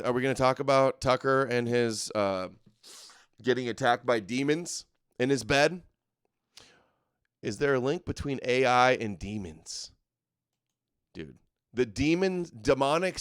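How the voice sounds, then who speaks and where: Sarcastic man, smooth dry voice. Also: mid-range voice, no room sound. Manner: sarcastic, scoffing. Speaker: show host. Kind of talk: mocking commentary over clips.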